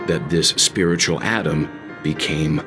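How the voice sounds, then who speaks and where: A man playing an earnest, philosophical traveler telling a story in a video game, deliberate and solemn with weighty pauses. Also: extremely low pitch, over music. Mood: grave, earnest.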